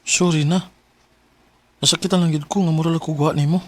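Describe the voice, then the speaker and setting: A man's voice: a little high, steady tone, fairly quick, no echo, natural voice. A man acting an earnest, plaintive young boyfriend in a radio drama, with a Cebuano Filipino accent. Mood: hurt, apologetic.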